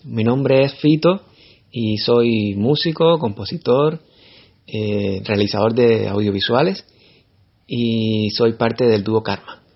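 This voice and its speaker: A man with a Cuban accent giving explanatory interview answers in short, measured phrases, in a conversational style. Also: mid-range voice, breathy edge, muffled recording. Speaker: interview guest, musician and composer.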